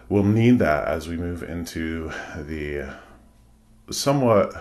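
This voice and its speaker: A man leading a guided meditation, giving soothing instructions in measured, deliberate phrases with pauses. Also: very deep voice.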